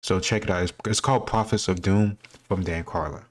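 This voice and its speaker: A man's voice: low-pitched, steady tone, brisk. A man speaking informally as a host, reacting casually and thinking aloud as he answers quiz questions.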